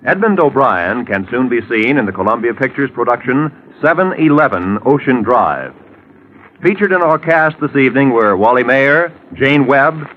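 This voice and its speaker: A man's voice: medium pitch, slight background noise. An American man announcing credits in formal mid-century radio style. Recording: muffled vintage radio broadcast.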